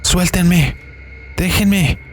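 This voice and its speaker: A man's voice: high-pitched, steady tone, extremely slow, a little hiss or hum, pitched above the performer's own voice. A man voicing a terrified little girl crying out for her parents in an audio story. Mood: frightened, struggling.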